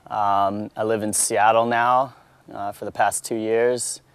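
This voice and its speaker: Low, smooth-voiced American man, thirties. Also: flat delivery, slow pace, no room sound. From Maryland, USA. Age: 30s. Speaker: expedition member being interviewed. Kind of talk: casual self-introduction.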